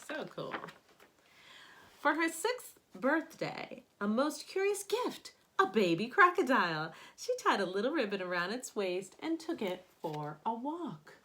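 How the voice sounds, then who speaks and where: A woman reading a picture book aloud like a teacher at bedtime, with expressive emphasis on key words. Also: a little high, unhurried.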